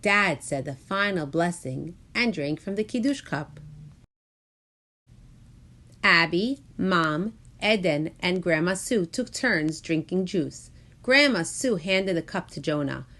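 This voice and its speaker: A woman reading a children's picture book aloud in an expressive, deliberate storytelling manner. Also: on the low side.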